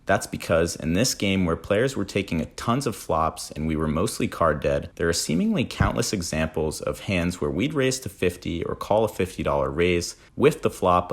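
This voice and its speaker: A man narrating a poker vlog in a scripted voice-over, with wry, self-deprecating delivery. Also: low-pitched. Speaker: poker vlogger narrating hands.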